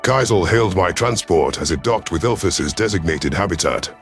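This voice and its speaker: Casting: male audiobook narrator, deep voice, measured and solemn sci-fi storytelling over faint music. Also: expressive. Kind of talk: scripted first-person sci-fi narration.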